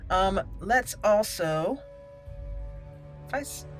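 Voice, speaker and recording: A woman's voice: medium pitch, lively intonation, slow, faint music behind. A woman hosting a gaming channel with casual roleplay commentary, chatty and easily amused.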